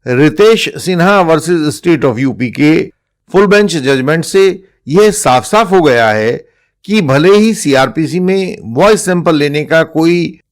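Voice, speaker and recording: Indian male legal explainer, slightly raised pitch, measured formal delivery. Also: lively intonation. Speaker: presenter explaining court judgments.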